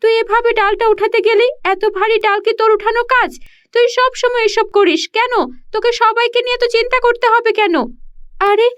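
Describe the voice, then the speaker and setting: A woman's voice: very high-pitched, steady tone, fairly quick, fairly bright tone, voice pushed up. A woman voicing a poor, kind-hearted village schoolgirl in a cartoon, talking in an insistent, scolding way. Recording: dull lo-fi audio.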